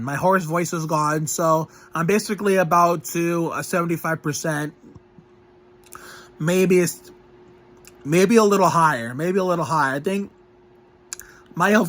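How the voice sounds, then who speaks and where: A man's voice: a little high, fairly bright tone. A young man from Northeast Ohio giving a rambling health update on his channel, recovering from a cold with a lingering cough, restarting sentences often and filling gaps with "you know" and "like". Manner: rambling, with frequent restarts and fillers. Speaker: vlogger.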